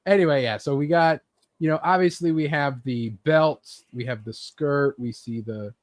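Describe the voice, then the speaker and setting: A man hosting a casual pop-culture panel, talking in a rambling, halting way and swearing freely. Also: on the high side, dry recording, studio quality. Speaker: host of a panel show.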